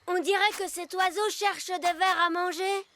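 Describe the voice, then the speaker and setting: A woman's voice: very high-pitched, steady tone, moderate pace, loud music behind the voice. A woman playing a keen, helpful young elf boy in a children's cartoon dub, eager and plucky. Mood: curious, concerned.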